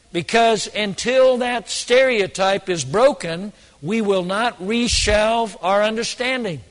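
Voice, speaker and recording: A hoarse man preaches with a high-pitched voice that rings with long reverb, as in a large hall. He presses his points hard and repeats phrases to drive them home. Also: fairly bright tone. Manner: emphatic, repeats phrases for stress. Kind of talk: sermon.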